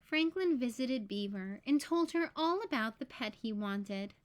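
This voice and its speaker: A woman reading a children's picture book aloud as storyteller, with deliberate phrasing for young listeners. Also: fairly high pitch, expressive.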